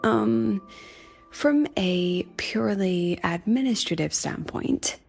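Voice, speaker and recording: A shrewd woman who runs an academy, speaking in a coaxing, conspiratorial way. Her mid-pitched voice has a gravelly edge of fry. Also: darkish tone, heavily acted. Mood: sly. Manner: coaxing, conspiratorial, slightly awkward. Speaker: academy professor. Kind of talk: video game voice acting.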